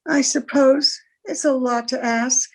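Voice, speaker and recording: A wistful, troubled wife played by a woman in a vintage radio detective drama. The voice is high, with an earnest, plaintive delivery. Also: fairly even intonation, unhurried. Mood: wistful, regretful. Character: wistful, anxious married woman with a secret. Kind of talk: old-time radio drama acting.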